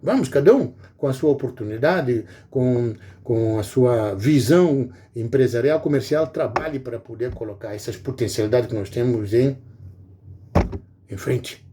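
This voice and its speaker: A man's voice: medium pitch, measured pace, background noise. A middle-aged man from Guinea-Bissau with a Guinea-Bissau Portuguese accent, giving an earnest, informal monologue to viewers and pleading with frequent hesitations. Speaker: video blogger.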